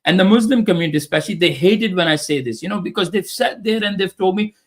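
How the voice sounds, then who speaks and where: A man's voice: high voice, quick pace. A man from Pakistan, whose first language is Urdu, speaking in emphatic, opinionated casual talk and often repeating phrases. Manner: emphatic, repeats phrases, acts out quoted voices.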